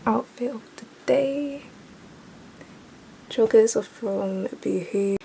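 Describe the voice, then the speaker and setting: A young woman, chatting casually to camera at home about her day, a little croaky. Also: on the high side, takes it slowly, slight background noise. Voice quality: slightly croaky in the morning. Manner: relaxed, chatty, thinks aloud. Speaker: vlogger. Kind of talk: casual vlog chat to camera. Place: bedroom at home.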